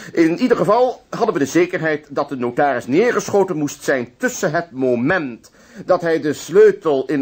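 An actor playing a composed police inspector in a radio play, measured and formal as he sets out cases. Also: on the high side. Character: composed male police inspector recounting an old case. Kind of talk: radio play acting, storytelling.

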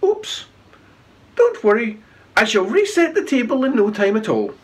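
A man voicing a gentle, polite and cheery Viking boy in a children's storybook reading. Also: very high-pitched, big pitch swings, normal speed, slightly rough, pitched above the performer's own voice, heavily acted. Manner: courteous, cheerful. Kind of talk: children's storybook read-aloud.

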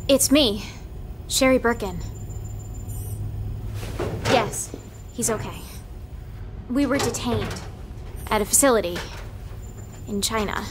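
An actress playing an earnest, determined young agent in a video game cutscene. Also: female voice, on the high side, slow pace, pauses often, noisy background. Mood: focused, relieved. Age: young adult. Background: music.

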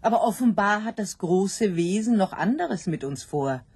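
A woman's voice: mid-range voice, expressive, normal speed, darkish tone, husky. A woman voicing an anxious, doubting sow in a literary radio play, with a brooding, dramatic delivery. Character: anxious, doubting sow pondering her fate.

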